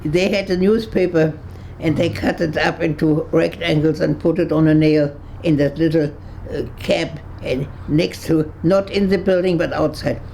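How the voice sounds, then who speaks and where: A woman over one hundred, from Berlin, recalling her past in an interview, halting and searching for words with frequent restarts, and laughing easily with dry humor. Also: sits low, fairly even intonation, creaky, dark tone, a little hiss or hum, poor audio. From Berlin, Germany. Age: 100s. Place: her home on a kibbutz.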